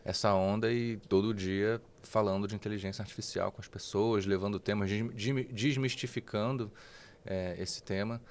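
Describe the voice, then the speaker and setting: A man's voice: fairly low pitch, fairly even intonation, normal speed, slight background noise. A man in his forties from Rio de Janeiro with a Carioca accent, a guest telling stories in a rambling way, with many restarts and tag questions. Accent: Carioca Portuguese. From Brazil, Rio de Janeiro. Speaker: guest, AI expert. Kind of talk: casual interview storytelling.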